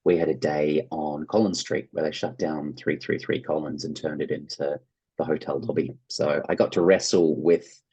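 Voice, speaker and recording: Aussie guy, really low husky voice, chatty interview guest telling stories. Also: level tone, normal speed, dark tone. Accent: Australian. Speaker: interview guest, actor and writer. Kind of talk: casual interview chat, anecdotes.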